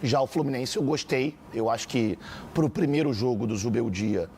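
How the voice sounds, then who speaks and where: A man's voice: medium pitch, moderate pace, a little mellow. Brazilian guy on a football panel, opinionated, keeps repeating himself to make a point, bit of hiss behind. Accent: Brazilian Portuguese. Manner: opinionated, builds arguments with repeated phrases. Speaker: sports panelist. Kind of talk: casual football debate.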